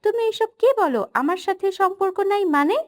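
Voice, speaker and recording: Woman playing a stubborn young cartoon girlfriend, high-pitched, dry recording. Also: lively intonation, brisk, no echo. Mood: pleading. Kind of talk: cartoon voice acting.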